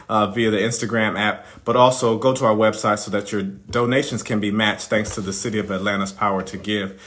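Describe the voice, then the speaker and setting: A man's voice: lowish voice, fairly bright tone. A man hosting a live online interview in an informal, effusive, conversational way, addressing his audience as y'all. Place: live video call stream.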